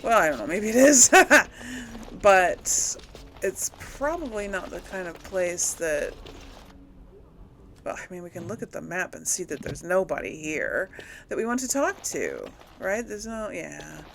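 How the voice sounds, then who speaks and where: A woman's voice: lowish voice, big pitch swings, unhurried, creaky, a bit bright, a little hiss or hum. A woman giving casual, unscripted game commentary to viewers, rambling a little as she thinks aloud. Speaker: let's play host.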